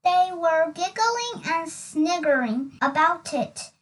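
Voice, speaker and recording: A woman reading a children's story aloud in an expressive, deliberate way. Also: very high-pitched, far from the mic. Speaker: storybook reader.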